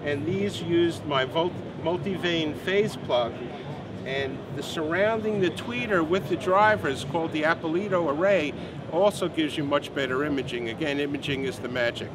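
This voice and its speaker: A man's voice: on the high side, moderate pace, a crowd in the background. A man in his sixties explaining a product in an interview, unscripted, enthusiastic and emphatic. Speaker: company president, product designer being interviewed. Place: busy indoor exhibition booth. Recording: noisy trade show floor.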